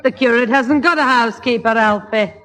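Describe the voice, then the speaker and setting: A woman's voice: on the high side, brisk, a bit bright, soft background music, lightly acted. A woman playing a reasonable, mildly scolding mother who keeps the peace in a 1960s radio sitcom. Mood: mildly reproving. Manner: placating, mildly reproving. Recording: old radio hall recording, studio audience.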